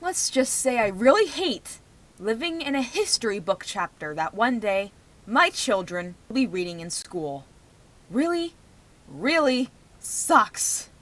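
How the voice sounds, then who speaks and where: A woman's voice: on the high side, very animated, measured pace, fairly bright tone. A young adult woman giving rambling personal commentary in a vlog, speaking in an emphatic, outspoken way. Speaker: vlogger.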